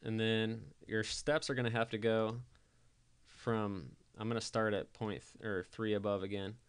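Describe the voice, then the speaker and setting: A man giving a casual software tutorial voice-over, explaining things informally step by step. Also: on the low side, flat delivery, normal speed, smooth. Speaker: tutorial presenter.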